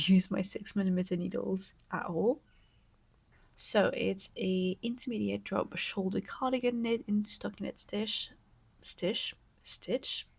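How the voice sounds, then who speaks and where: Congested, excitable woman with a cold. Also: medium pitch, creaky. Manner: gushing and excitable, often dropping to a soft whisper. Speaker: knitting vlogger. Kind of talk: casual vlog chat to camera.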